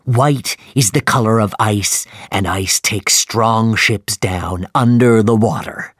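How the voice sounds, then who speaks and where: A man putting on a booming, vengeful one-legged captain. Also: breathy edge, no room sound, clean studio sound, full character voice. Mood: fanatical. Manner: loud booming declarations, drawn-out words. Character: obsessed raging one-legged whaling captain, male.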